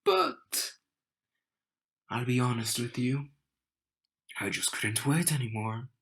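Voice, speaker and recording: Slow-talking, teasing young groom, played by a man. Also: medium pitch, sing-song, gravelly, a bit bright, husky, heavily acted. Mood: cocky, intrigued. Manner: smug, teasing, unhurried delivery with dramatic pauses. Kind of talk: romantic audio roleplay addressed to the listener.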